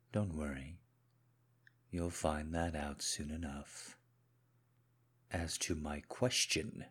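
A man voicing a controlling husband who confronts his wife in an audio roleplay, speaking slowly and dropping into hushed whispers. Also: sits low, husky, pitched below the performer's own voice, exaggerated voice.

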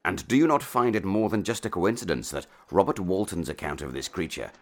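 A man acting as a shrewd Victorian gentleman detective with an extremely low, gravelly voice. He speaks formally and deliberately, choosing ornate words and taking command, in the manner of an audio drama. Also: expressive. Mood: intent, reasoning. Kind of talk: fiction podcast acting.

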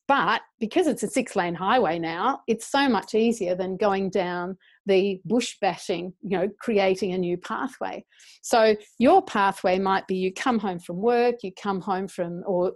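Female educator as interview guest, medium pitch, earnest and passionate, explanatory delivery. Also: darkish tone.

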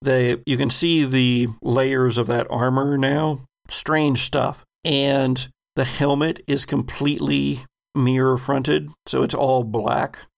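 A man narrating a tabletop game as game master, descriptive and deliberate. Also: medium pitch, little pitch movement, vocal fry.

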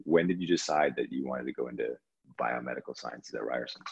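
A man's voice: a bass voice, fairly quick, vocal fry, slightly rough, highs cut off. A man interviewing a guest in a casual conversation, laughing easily and interjecting.

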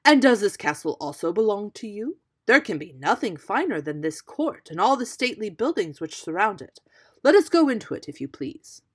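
A woman's voice: medium pitch, sing-song, moderate pace, a little mellow. A woman voicing a grand, genial king from a storybook, admiring and stately in an exclaiming manner. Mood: amazed, admiring.

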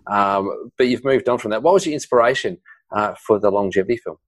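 A man's voice: on the low side, quick pace, dry recording. A man from New Zealand co-hosting a podcast, joking around and laughing often in casual chat. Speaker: podcast co-host.

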